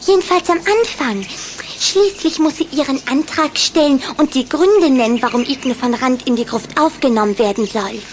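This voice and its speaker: An eager little vampire girl, acted by a woman in a children's radio play. Her voice is high and chirpy, and she coaxes with cheeky confidence. The recording has clear background noise. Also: moderate pace, close to an everyday voice. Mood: eager, persuasive. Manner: chirpy, insistent, self-assured. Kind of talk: German children's radio drama acting.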